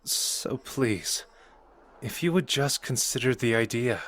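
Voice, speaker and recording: A man's voice: mid-range voice, slow pace, a bit bright. A man playing a cocky, protective sorcerer and sarcastic rival in an anime audio roleplay, informal and sardonic with an earnest core. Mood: soft, earnest.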